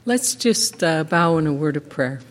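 A middle-aged woman giving a measured, earnest sermon in a church sanctuary, with a teacherly way of explaining. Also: low-pitched, expressive. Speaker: lay preacher. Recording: echoey sanctuary with long reverb.

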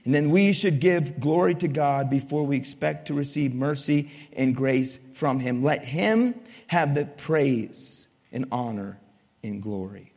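A male pastor preaching a sermon to his congregation, asking it questions and swinging between loud emphasis and soft, low lines. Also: measured pace, creaky. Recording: long hall reverb.

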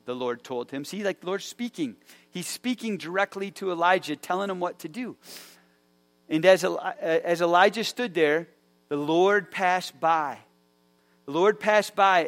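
A man's voice: on the high side, fairly bright tone. A man preaching a conversational sermon in a church, telling a scripture story with many fillers and restarts. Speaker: pastor.

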